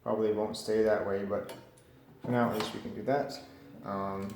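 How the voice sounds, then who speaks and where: A man's voice: on the low side, little pitch movement, moderate pace, vocal fry. A man casually narrating a hands-on repair, tentative and thinking aloud as he works. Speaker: hobbyist radio repairer presenting. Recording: roomy echo with faint background noise.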